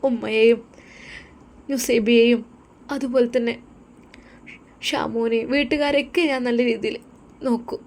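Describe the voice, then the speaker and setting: A woman's voice: a little high, steady tone, a little slow, long pauses, a little mellow, voice pushed up. A woman playing a sweet, earnest young wife.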